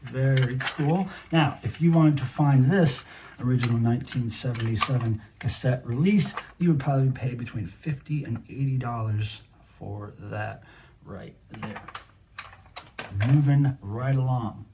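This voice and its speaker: A man's voice: mid-range voice, unhurried, dark tone, a little hiss or hum. A middle-aged man hosting a casual show-and-tell, talking to viewers directly with a collector's enthusiasm. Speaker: video host, cassette collector.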